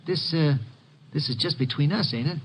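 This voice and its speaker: An actor playing a nervous, stammering sneak thief with slangy, uneducated speech in an old radio detective drama. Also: male voice, on the high side, moderate pace, a bit bright, slightly rough, rough recording. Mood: nervous, evasive. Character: nervous, very tall small-time sneak thief. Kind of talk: 1940s-50s radio detective drama acting.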